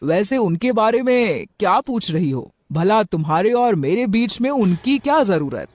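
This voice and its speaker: A man's voice: sits high, very animated, measured pace, no room sound. A man playing a lecherous, insinuating master of a household in an audio story. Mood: sly, suggestive.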